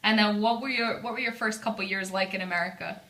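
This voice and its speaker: Bright, friendly woman, casual interviewer asking gentle questions. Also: mid-range voice, fairly even intonation, fast. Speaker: interviewer, granddaughter.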